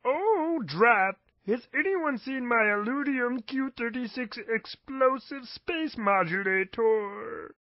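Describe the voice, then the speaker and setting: A man voicing a small, prissy, scheming cartoon alien with a prim, deliberate delivery. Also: very high-pitched, expressive, no echo, dull audio, full character voice. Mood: puzzled, peeved.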